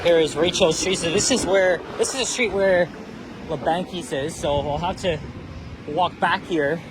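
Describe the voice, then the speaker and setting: A man's voice: on the high side, normal speed. A man chatting casually while walking a city street, rambling and restarting his phrases as he talks. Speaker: walking tour vlogger. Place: busy city street outdoors. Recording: street traffic noise behind.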